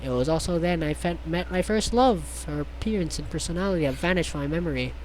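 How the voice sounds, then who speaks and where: Low-key game streamer with noisy background. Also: fast, darkish tone. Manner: stumbles over read text, sarcastic asides, swears. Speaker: streamer reading a visual novel aloud. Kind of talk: casual playthrough, reading game text aloud with joking asides.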